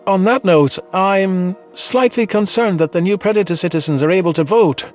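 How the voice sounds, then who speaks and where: Man as cautious alien advisor, male, high-pitched, guarded, soft music behind, sci-fi audio drama. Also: moderate pace, lightly acted. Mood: wary, concerned. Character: male alien rescue survivor, wary, cautious political advisor. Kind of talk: audio drama narration dialogue.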